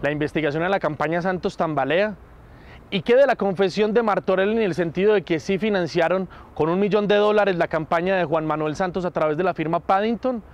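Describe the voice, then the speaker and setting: A man in his thirties with a Colombian accent reporting outdoors above a city, posing questions deliberately. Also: high-pitched. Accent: Colombian Spanish. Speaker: field reporter. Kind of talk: stand-up news report.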